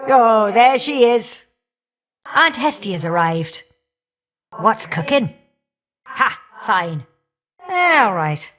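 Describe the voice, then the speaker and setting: An actress voicing a warm, feisty old great-aunt fire goddess for a video game, with folksy, teasing, old-fashioned talk. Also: female voice, on the high side, big pitch swings, extremely slow, long pauses, soft background music, full character voice. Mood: cheery, pleased. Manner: folksy, teasing, old-fashioned turns of phrase, calling listeners dearie. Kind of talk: video game character acting.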